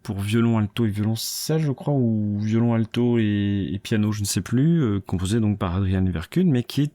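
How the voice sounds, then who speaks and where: A man's voice: on the low side, normal speed, dark tone, no room sound. A young man, a musicology doctoral student, talking through his thesis in an unscripted solo talk, full of hesitations, fillers and restarts. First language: French. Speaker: musicology PhD student and video creator.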